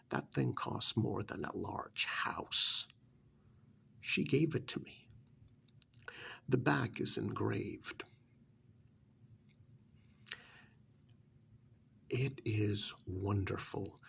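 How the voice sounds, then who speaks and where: A man playing a smug, pampered vampire's familiar recruiter in an ASMR role-play, cajoling and dismissive, with a gravelly voice that often drops to a breathy near-whisper. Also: on the low side, a little hiss or hum, full character voice. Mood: smug, contented. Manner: conversational, cajoling, with rhetorical questions and pauses.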